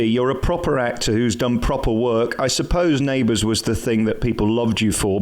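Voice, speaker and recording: A man with a British accent hosts a radio interview at a middling pitch. He is effusive and teasing, talking in a chatty, promotional way.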